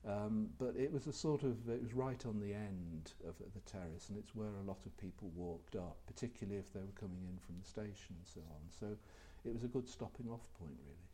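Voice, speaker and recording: Older male ex-lecturer, deep husky voice, thoughtful and halting. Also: mellow. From England. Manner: hesitant, reflective, many ums. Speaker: retired lecturer being interviewed. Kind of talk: oral history interview.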